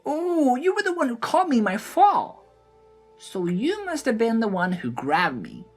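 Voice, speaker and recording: Casting: gleeful gnome showman, played by a woman, very high voice, swooping and exclamatory, rambling fantasy audio-drama chatterbox. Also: wildly swooping pitch, unhurried, darkish tone, husky, faint music behind, exaggerated voice. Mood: excited rambling.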